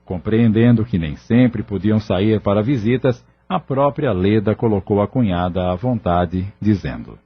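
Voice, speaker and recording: A man's voice: lowish voice, animated, a little slow, few pauses, breathy edge, highs cut off. A man with a Brazilian accent narrating a scripted audio novel as its storyteller. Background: music.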